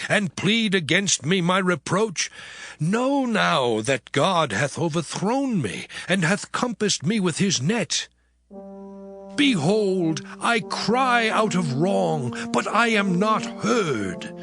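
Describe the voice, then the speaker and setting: An actor playing an anguished, suffering man who pleads his innocence in an impassioned, deliberate scripture drama. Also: high-pitched, sharp tone, dry recording, exaggerated voice.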